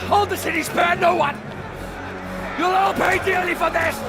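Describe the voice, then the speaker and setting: An actor playing an arrogant, cruel and petulant son of a Roman emperor in a video game, imperious, theatrical and given to bellowing orders. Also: male voice, very high voice, fairly even intonation, moderate pace. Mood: furious, vengeful. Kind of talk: video game cinematic acting. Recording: loud cinematic music and crowd behind.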